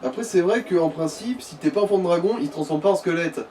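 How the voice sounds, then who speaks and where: A man's voice: sits high, quick pace, a little mellow. A man chatting casually as co-commentator on a game, explaining and riffing. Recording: slightly distant mic, background noise.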